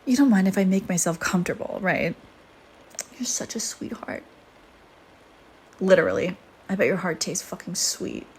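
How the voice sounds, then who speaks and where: A woman's voice: lowish voice, lively intonation, moderate pace, pauses often, darkish tone, slight background noise. A woman playing a flirty, teasing vampire in an intimate audio roleplay, with a sardonic, casual manner. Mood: teasing, predatory. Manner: sardonic, teasing, casual murmuring with sighs and whispered asides.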